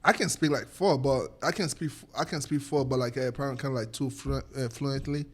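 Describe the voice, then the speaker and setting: A young man with a Nigerian accent, a native speaker of a local Edo language, chatting casually and telling stories in an animated, repetitive way. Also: mid-range voice, brisk. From Nigeria, Edo State. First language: Edo State local language. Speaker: podcast guest, former college athlete. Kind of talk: casual chat.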